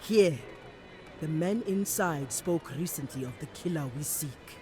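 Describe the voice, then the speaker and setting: Slow, commanding warrior priestess. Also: female voice, low voice, dark tone, faint music behind. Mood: confident, wry. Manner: measured, declamatory. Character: bold, commanding warrior priestess, proud champion of her people. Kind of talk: video game cutscene acting.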